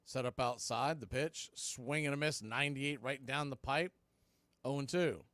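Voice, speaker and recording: A man's voice: mid-range voice, moderate pace, fairly bright tone, dry recording. A man doing live radio baseball play-by-play, with punchy clipped calls and conversational asides.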